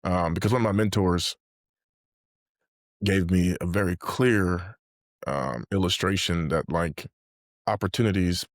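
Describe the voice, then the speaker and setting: A man's voice: deep voice, a little slow, long pauses, no echo, clean studio sound. A man in his late twenties speaking earnestly and reflectively as a guest in a conversational interview, often dropping in "like". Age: late 20s. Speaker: podcast guest, mentor.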